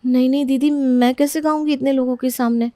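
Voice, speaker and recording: A woman's voice: high-pitched, fairly even intonation, brisk, dark tone, no room sound, studio quality, voice pushed up, natural voice. A woman voicing a shy, modest young new bride in a storybook tale, hesitant and deferential. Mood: shy, unsure.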